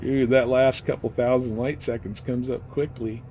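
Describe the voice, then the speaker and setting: A man's voice: mid-range voice, steady tone, moderate pace, darkish tone. Man casually streaming a game over noise. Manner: informal slangy talk. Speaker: live streamer playing a space flight game. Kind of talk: casual live gameplay commentary.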